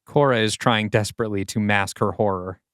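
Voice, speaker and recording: A man's voice: fairly low pitch, steady tone, a little fast, a bit bright, smooth, no room sound, clean studio sound. A man reading scripted stage directions as the narrator of a dramatic audio play, with music behind him.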